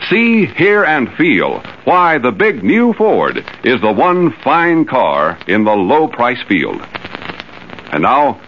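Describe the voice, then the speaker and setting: A man's voice: mid-range voice, huge pitch swings, takes it slowly. A man delivering a polished, emphatic scripted commercial in 1950s radio announcer style. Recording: dull, hissy old radio recording.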